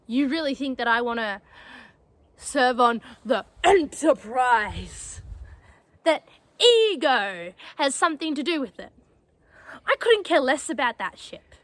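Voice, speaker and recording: A young woman playing a defiant, grieving female space fleet cadet in an emotional, bitter dramatic monologue, pausing and stressing her words. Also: high voice, very animated, a bit bright, no room sound, full character voice. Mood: angry, defiant.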